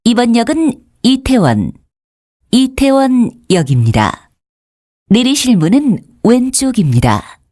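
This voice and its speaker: A woman's voice: on the high side, very animated, takes it slowly, mellow, no room sound, studio quality. A woman reading scripted station announcements as a subway announcer.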